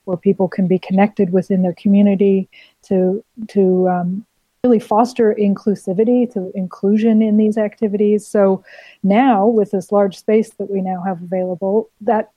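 A woman's voice: medium pitch, flat delivery, moderate pace, breathy edge, no echo, highs cut off. A woman with a foreign accent, a community cafe committee member being interviewed on radio, explaining in a friendly way with many fillers. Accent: non-Australian, foreign.

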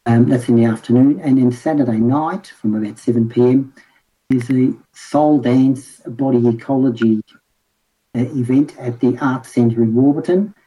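A man, a permaculture group guest on radio, speaking hesitantly with many pauses and ums. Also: medium pitch, steady tone, warm tone, husky, poor audio. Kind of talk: radio interview.

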